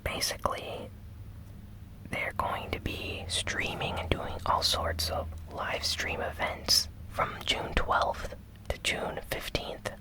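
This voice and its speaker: This is an ASMR news host who speaks only in a soft, breathy whisper. The pace is very slow and measured, broken into short phrases. The delivery is gentle, in the style of a relaxed recap. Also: low-quality recording. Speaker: host of a gaming news show.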